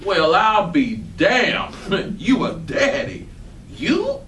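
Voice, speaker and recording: A man's voice: sits high, drawn-out words, full character voice. A man acting a blunt, teasing working man in a readers theatre performance, bantering in coarse talk. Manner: animated, bantering, profane. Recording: echoey room, distant mic, faint noise.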